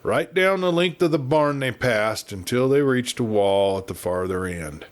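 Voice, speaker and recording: A man's voice: mid-range voice, lively intonation, moderate pace. American man narrating a Western tale. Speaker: audiobook narrator.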